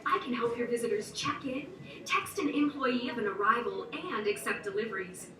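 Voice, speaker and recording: A woman's voice: on the high side, animated, moderate pace, distant mic, background noise, natural voice. A woman voicing an upbeat, polite virtual receptionist with chirpy, scripted sales patter, played back in a showroom. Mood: cheerful. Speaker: virtual assistant demo voice. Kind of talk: scripted promotional lines. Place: large showroom. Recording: played back in a roomy showroom, heard from afar.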